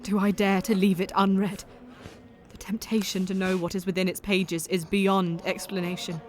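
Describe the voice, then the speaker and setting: A woman voices a devoted young Victorian woman who speaks softly and earnestly, gentle toward the man she loves. Her delivery is tender and sincere. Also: mid-range voice, flat delivery, moderate pace, slight background noise, natural voice. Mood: pensive. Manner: tender, earnest, soft-spoken. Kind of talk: audio drama acting.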